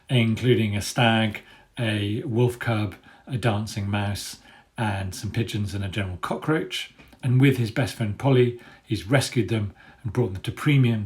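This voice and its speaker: A man, an author telling and reading a children's adventure story aloud in an expressive storytelling style. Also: on the low side.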